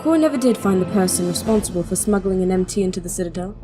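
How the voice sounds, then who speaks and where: A woman's voice: mid-range voice, lively intonation, fast talker, over music, natural voice. A woman playing an imperious, protective young princess, a king's daughter, in an audio drama. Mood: regretful, resolved. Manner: imperious, clipped commands.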